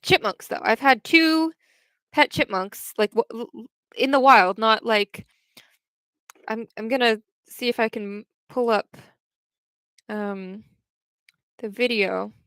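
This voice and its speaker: A young woman talks casually to her livestream chat, wandering through her thoughts with frequent restarts. Her voice is smooth and resonant, and it is recorded close and dry, with no room sound. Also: fairly high pitch, slow pace, long pauses, a bit bright, no echo. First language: English.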